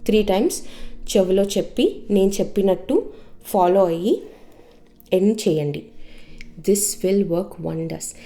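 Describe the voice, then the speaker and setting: A woman's voice: mid-range voice, dark tone. A woman with a Telugu Indian accent giving informal motivational advice as a coach, using emphatic repetition, rhetorical questions and English phrases mixed in. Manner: mixes English phrases into speech. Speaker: manifestation coach and channel host. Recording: music under the voice.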